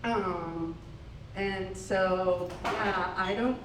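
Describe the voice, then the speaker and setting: Loud-voiced woman in an echoey gallery. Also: mid-range voice, very slow, slight background noise. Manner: casual, searching, with many fillers. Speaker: exhibiting artist on a panel. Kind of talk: panel artist talk. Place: art gallery. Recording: echoey gallery, mic far off.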